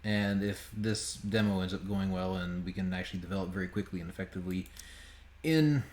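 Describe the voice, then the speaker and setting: A man's voice: low-pitched, brisk, darkish tone. A man giving casual, sweary, sardonic game commentary on a home stream. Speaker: game developer streaming a playthrough.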